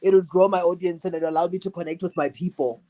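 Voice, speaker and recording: A woman's voice: lowish voice, steady tone, quick pace, dark tone. A woman from South Africa who makes online content, speaking earnestly on a panel and laughing easily. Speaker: digital content creator. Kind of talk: casual panel discussion.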